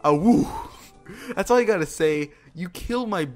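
A man's voice: high-pitched, expressive, moderate pace, a little mellow. A man giving casual gameplay commentary as a video host, joking and self-deprecating, with informal talk and mild swearing. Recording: game music behind the voice. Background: video game music.

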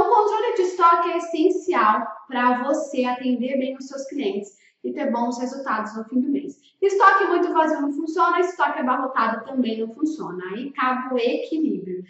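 A woman's voice: high voice, lively intonation, moderate pace, few pauses, fairly bright tone. A Brazilian woman in her thirties giving an emphatic, didactic instructional talk to camera as a consultant, often posing rhetorical questions. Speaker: food-service consultant and video host. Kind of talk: instructional vlog addressed to restaurant owners. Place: small indoor room with bookshelf. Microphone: large microphone with pop filter in front of her. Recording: echoey room, distant, slightly dull sound.

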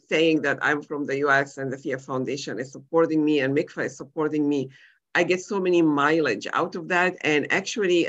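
A woman's voice: low voice, a bit bright. A middle-aged woman from Egypt speaking conversationally as a physician in an online panel discussion. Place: indoors on a video call. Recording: dull lo-fi video-call audio.

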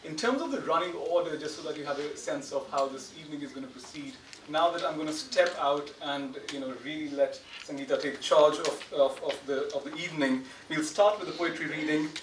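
A man in his thirties hosting and introducing a panel to an audience, informal and halting, often correcting himself. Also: fairly high pitch, a bit bright. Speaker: academic host introducing panel. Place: large lecture theatre, indoors. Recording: echoey lecture hall, distant, noisy.

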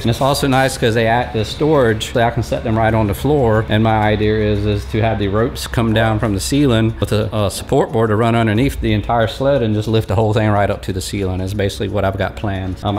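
Laid-back guy in his 40s walking thru a shop install, kinda low and even voice, faint music under it. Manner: relaxed, folksy, explaining step by step. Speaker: fishing channel host. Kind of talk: casual how-to walkthrough. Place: large metal workshop, indoors. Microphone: handheld or head-mounted camera close up.

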